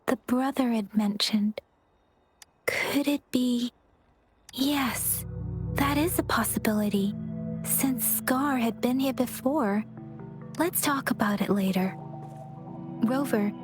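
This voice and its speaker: Calm earnest young ally, high voice, music playing under her. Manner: soft-spoken, earnest, composed. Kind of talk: game cutscene voice acting. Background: game music.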